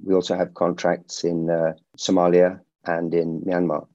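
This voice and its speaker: A middle-aged man who grew up in India, a security company founder answering interview questions in a measured way with frequent restarts. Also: sits low, monotone, mellow, dry recording, highs cut off.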